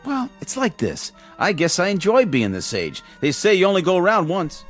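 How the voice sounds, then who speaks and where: An actor playing a friendly, easygoing nineteen-year-old elevator operator in a television drama, with a colloquial, eager and deferential way of talking. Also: male voice, high voice, very animated, normal speed, soft background music. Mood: amiable, unconvinced.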